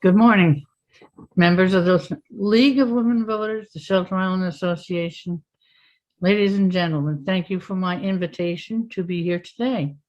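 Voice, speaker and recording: A woman's voice: on the low side, moderate pace, darkish tone, lo-fi sound. An older woman, a town clerk candidate, giving a formal prepared statement, stumbling and repeating words. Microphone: video call.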